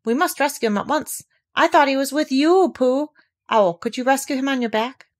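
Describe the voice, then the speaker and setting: An earnest young boy, acted out by a woman reading a storybook, pitched high. He is affectionate and excitable, speaking in eager exclamations and anxious questions. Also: moderate pace, natural voice. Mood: urgent. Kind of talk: children's storybook character voice.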